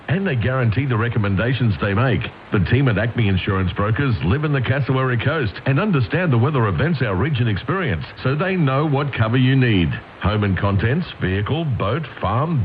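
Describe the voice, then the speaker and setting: Male commercial voice-over, mid pitch, very animated intonation, narrow shortwave radio sound. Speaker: advert voice-over. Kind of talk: scripted radio commercial read. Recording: narrow tinny shortwave radio, faint noise.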